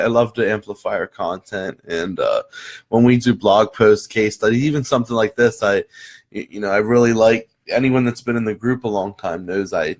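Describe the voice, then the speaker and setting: Hoarse low-voiced man hosting a live chat. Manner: rambling, many restarts and fillers. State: hoarse after losing his voice. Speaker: host of a live marketing chat. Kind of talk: casual live-stream talk to viewers.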